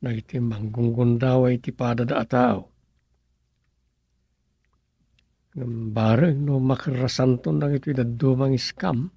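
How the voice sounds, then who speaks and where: An actor playing a pensive story writer who mutters and muses to himself in a radio comedy drama. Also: male voice, medium pitch, vocal fry, warm tone, slightly rough, dry recording. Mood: grumbling.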